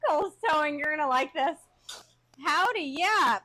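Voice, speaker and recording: A woman co-hosts a casual live stream, reading viewer comments and teasing her husband. Her voice is very high and bright, with a sharp edge. She talks in quick, playful bursts. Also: expressive, poor audio. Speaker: co-host reading chat.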